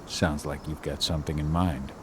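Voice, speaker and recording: An actor playing a terse, serious, gruff exiled warrior and father in a video game cutscene. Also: very deep voice, steady tone, moderate pace, noisy background. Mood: sober.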